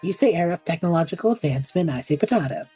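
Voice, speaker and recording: A woman playing a cocky, cheeky young male adventurer who talks in a flippant, chatty, excitable way in a fantasy audio drama. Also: lowish voice, very fast, breathy edge, soft background music. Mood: breezy banter.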